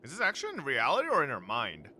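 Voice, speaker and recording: A man's voice: mid-range voice, huge pitch swings, rapid-fire, bright, forceful tone, slight background noise. A man streaming a game with casual, sweary, joking reaction commentary.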